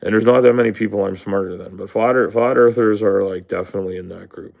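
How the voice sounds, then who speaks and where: Brief: a man, a bit low voice, casual live stream host, rambling and profane. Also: steady tone, quick pace, creaky, darkish tone. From Canada. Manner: rambling, profane, full of fillers. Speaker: livestream host. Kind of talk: casual livestream rant.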